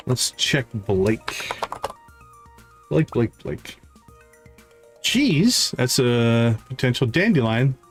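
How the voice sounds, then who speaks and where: A man casually commentating his live gameplay, talking to himself in short remarks and repeating words as he thinks. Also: medium pitch. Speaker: game streamer. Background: music.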